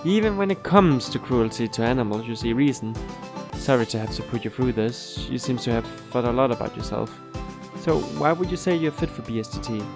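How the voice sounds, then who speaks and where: Guy playing a polite official interviewer in an audio drama, medium pitch, encouraging, music going behind him. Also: expressive, brisk, vocal fry. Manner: courteous, formal. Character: polite, encouraging formal male board interviewer. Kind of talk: amateur audio drama acting.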